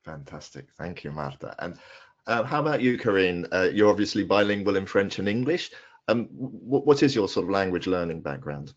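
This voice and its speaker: A man's voice: low voice, expressive, moderate pace. A man hosting a webinar and interviewing panelists in an informal conversational style. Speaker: webinar host. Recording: dull, lo-fi online call audio.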